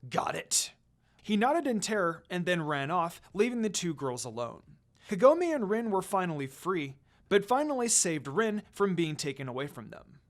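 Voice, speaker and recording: A dramatic narrator reading fan fiction aloud. The pitch sits in a middle range and moves up and down a great deal. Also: very animated, moderate pace.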